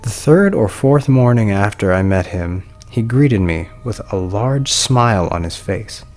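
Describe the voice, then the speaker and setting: A man's voice: fairly low pitch, expressive, measured pace. A man reading a scripted first-person horror story as its narrator. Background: soft music.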